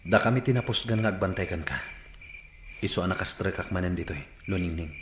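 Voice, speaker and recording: Man as a secretive bandit turncoat, low hushed voice, earnest, radio drama, echoey room. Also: a little fast, background noise, natural voice. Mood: urgent, secretive. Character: repentant, secretive young bandit planning escape with his sweetheart.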